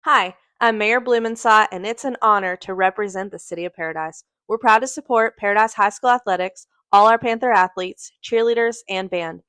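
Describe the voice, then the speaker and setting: A woman's voice: medium pitch, brisk, few pauses, fairly bright tone, no room sound. A woman delivering a scripted promotional message as a local official.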